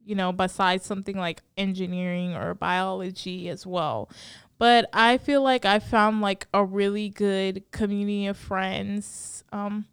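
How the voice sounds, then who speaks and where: Chatty young woman with a crisp voice. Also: medium pitch, fairly even intonation, unhurried, fairly bright tone, dry recording, studio quality. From Bahamas. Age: young adult. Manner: chatty, many likes and ums. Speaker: interview guest. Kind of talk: casual interview answers.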